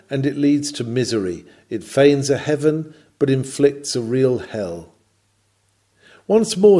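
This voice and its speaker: Solemn man delivering a sermon, mellow voice. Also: on the high side. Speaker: reader of a historical sermon. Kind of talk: sermon, read from a script with oratorical delivery.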